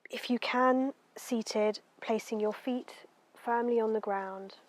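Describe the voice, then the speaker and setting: A woman guiding a meditation in a gentle, unhurried, soothing way, letting her phrases end softly. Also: on the high side, steady tone, dry recording, rough recording. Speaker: meditation guide. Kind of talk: guided meditation narration.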